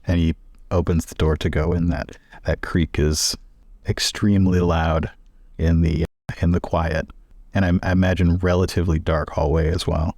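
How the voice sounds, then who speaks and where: Deep-voiced man narrating, close and dry. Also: level tone, normal speed, a little mellow, no room sound. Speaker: tabletop game player. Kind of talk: improvised narration of a tabletop game.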